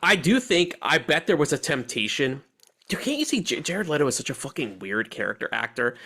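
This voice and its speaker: A man hosting a movie podcast, chatting casually and giving animated opinions with slang and swearing. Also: on the high side, brisk. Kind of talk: casual chat, film discussion.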